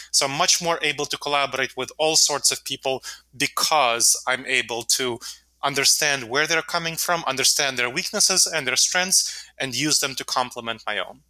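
Earnest bright-voiced man, dry sound. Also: mid-range voice, no echo, rough recording. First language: Russian. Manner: earnest, explanatory, with frequent fillers. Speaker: author and podcast guest. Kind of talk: podcast interview answers.

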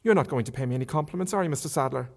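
A man's voice: mid-range voice, racing, vocal fry. A man reading aloud who voices a forthright, chatty young woman with a lifted, lilting tone and emphatic questions. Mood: teasing. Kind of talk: author reading a novel aloud.

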